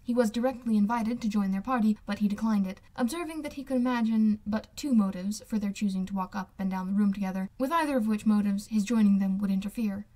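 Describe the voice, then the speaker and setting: A woman's voice: mid-range voice, quick pace, hardly any pauses, mellow. Woman narrating a classic novel. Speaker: amateur audiobook reader. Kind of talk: scripted literary narration.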